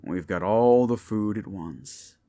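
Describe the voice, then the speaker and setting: A man voicing a gruff, seasoned demon monster hunter in an action-horror audio roleplay, with a gravelly voice and a wry, laconic, protective manner. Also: lowish voice, slow pace, mellow. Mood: wry, relaxed.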